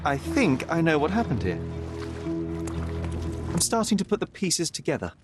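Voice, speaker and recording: A young, sharp detective in a game, composed and precise in every line. His voice sits fairly high and stays lively in pitch. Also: moderate pace, loud music behind the voice. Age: young adult.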